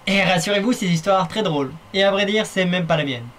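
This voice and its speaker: Wry, higher-voiced male storyteller. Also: fast, fairly bright tone, slight background noise. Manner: playful, wry, talks to the viewer. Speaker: narrator. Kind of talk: fan-dub storytelling narration.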